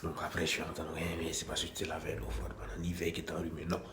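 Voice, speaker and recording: A man's voice: a bass voice, monotone, very fast, a bit bright, slight background noise. A man with a cold, chatting casually to his phone camera in a small bathroom at home, repeating himself and restarting his sentences. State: has a cold. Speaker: vlogger. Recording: echoey room with faint ambience.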